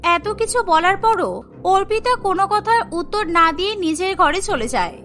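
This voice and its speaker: A woman's voice: extremely high pitch, expressive, normal speed, fairly bright tone, soft background music. A woman reading scripted narration for a cartoon story.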